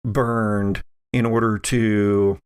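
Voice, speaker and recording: A man's voice: lowish voice, level tone, drawn-out words, clean tone. A man running a tabletop roleplaying game as game master, explaining the rules and story to the players.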